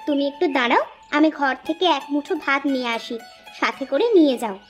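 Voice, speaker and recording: A woman's voice: high-pitched, fast talker, faint music behind, close to an everyday voice. A woman voicing a caring, teasing village housewife and mother who fusses and argues in a cartoon. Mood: fussing, caring. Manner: fussing, scolding affectionately. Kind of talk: Bengali cartoon dialogue acting.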